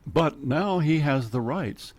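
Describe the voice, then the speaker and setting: A man's voice: a little high, expressive, slow pace. An older man with an Oklahoma accent, telling rambling, chatty stories as a radio guest. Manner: rambling storyteller, laughs often, jumps between topics. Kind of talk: casual radio chat. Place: radio studio.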